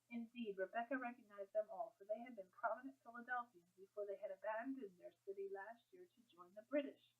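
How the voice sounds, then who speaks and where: A woman's voice: medium pitch, brisk, hardly any pauses, slightly rough, phone-quality sound. A woman reading a historical novel aloud as scripted audiobook narration.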